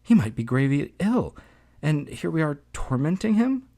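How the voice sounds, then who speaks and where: A man's voice: on the low side, expressive, a little slow, a little mellow, breathy edge, exaggerated voice. A man voicing a distraught, tearful older mother in an audiobook story, crying out urgently. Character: distraught weeping mother of a grown son.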